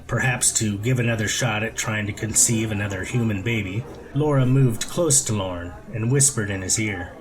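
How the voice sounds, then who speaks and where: A man's voice: lowish voice, moderate pace, hardly any pauses, noisy background. Man reading a story aloud. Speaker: audiobook reader. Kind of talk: scripted story narration with character voices.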